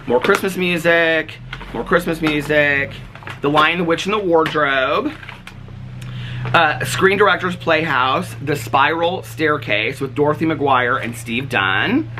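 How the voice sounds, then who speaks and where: An American man chatting casually to camera as a vlogger, in a gushing, easily excited manner. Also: on the high side, slow pace. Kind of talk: casual show-and-tell chat to camera. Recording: clearly noisy home recording with clicks.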